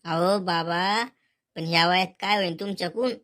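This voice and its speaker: A woman's voice: lowish voice, steady tone, moderate pace, a bit bright, close to an everyday voice. A woman voicing a cheeky, mocking young male wedding photographer in a cartoon comedy, bossy and sarcastic, with a rural Maharashtrian accent.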